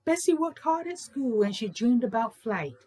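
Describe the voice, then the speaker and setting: A woman host reading aloud for children with expressive, emphatic phrasing. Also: a little high, moderate pace, husky. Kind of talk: expressive picture book read-aloud in rhyming verse.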